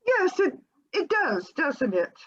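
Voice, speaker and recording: A woman plays a genteel, evasive housewife in a comic readers theater sketch, captured in dull, lo-fi audio. Her high voice swoops and flutters through theatrical, over-polite excuses. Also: sing-song, slow, heavily acted. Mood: flustered.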